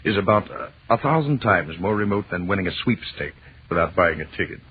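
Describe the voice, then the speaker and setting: An actor playing a wry, romantic astronomy professor and husband with florid, mock-pompous delivery in an old radio drama. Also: male voice, sits low, animated, fairly quick, slightly rough, full character voice. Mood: explaining. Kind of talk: 1940s radio drama acting. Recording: muffled, noisy old radio recording.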